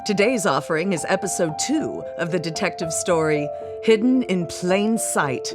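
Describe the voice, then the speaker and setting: A woman's voice: on the low side, lively intonation, moderate pace. A woman reading scripted narration for a radio mystery drama. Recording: loud music behind the voice. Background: music.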